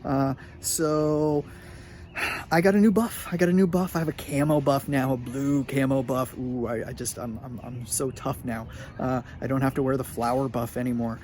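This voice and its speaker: A middle-aged man telling a casual story to his students in a chatty, animated way, with lots of filler words. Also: medium pitch, normal speed, slight background noise. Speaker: high school sports medicine teacher.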